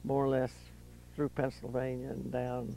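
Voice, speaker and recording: A woman, recalling her ancestors in a halting, thoughtful way. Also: very deep voice, completely flat delivery, vocal fry, warm tone, slight background noise, poor audio. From Western North Carolina. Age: 90s. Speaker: interviewee.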